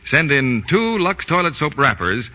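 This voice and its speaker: A man's voice: a little high, sing-song, measured pace, pushed and bright. A man announcing an advertisement in 1940s radio style. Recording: dull old radio recording, long reverb.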